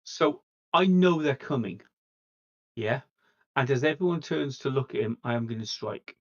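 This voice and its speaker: A man's voice: medium pitch, animated, moderate pace, lo-fi sound. A man playing a tabletop game, stating his actions and dice results in casual talk.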